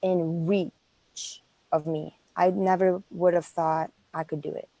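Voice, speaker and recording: Mellow, low-voiced woman, earnest. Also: level tone, slow pace, dry recording, low-quality recording. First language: Greek. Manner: earnest, with many fillers and restarts. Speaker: interview guest. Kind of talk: casual interview.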